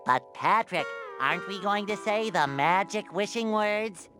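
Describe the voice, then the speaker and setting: Childlike cartoon sponge, high voice, very animated, naive, earnest, gleeful. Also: male voice, measured pace. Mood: doubtful. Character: childlike, eager, naive male sea sponge. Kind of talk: cartoon acting in a video game. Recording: music bed behind voice.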